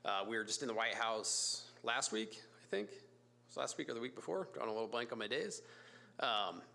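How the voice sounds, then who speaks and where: A man with a raspy voice from a cold, a company executive making a casual, filler-heavy pitch to a city council. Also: expressive, pushed and bright. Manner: informal, fillers and restarts, brisk business pitch. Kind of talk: public comment at a council meeting. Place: council chamber.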